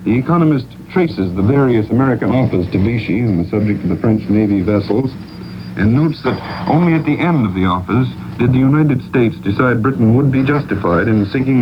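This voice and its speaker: Through a noisy, dull 1940s shortwave broadcast comes a man with a medium-pitched voice. He reads a scripted wartime news report as a radio correspondent. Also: a little fast.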